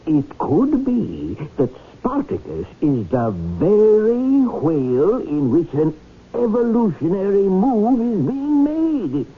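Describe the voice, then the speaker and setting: A man's voice: high-pitched, huge pitch swings, slow pace, breathy edge, heavily acted. A man playing an earnest, fussy, mild-mannered adviser who explains things hesitantly in a 1970s radio drama. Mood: earnest, pondering. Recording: tinny phone-like 1970s radio recording.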